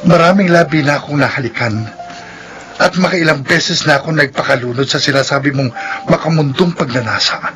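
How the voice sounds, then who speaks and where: An actor playing a passionate, pleading young suitor in a sentimental romantic radio drama. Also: male voice, on the high side, brisk, lightly acted. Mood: pleading, intense. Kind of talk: Ilocano-style romantic radio drama acting. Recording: loud music under the voice.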